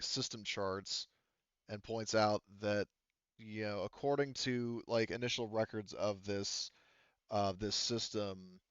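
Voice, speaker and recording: A man improvising narration as game master in a conversational way, halting as he searches for words and casually profane. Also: on the low side, gravelly, clean tone, dry recording, dull audio. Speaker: game master of a tabletop roleplaying game.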